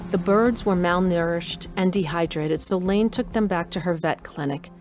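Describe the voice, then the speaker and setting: Actress as a low-voiced woman narrator over music. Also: moderate pace, lightly acted. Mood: measured storytelling. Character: determined, wry mother narrating her adventure. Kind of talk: first-person scripted narration.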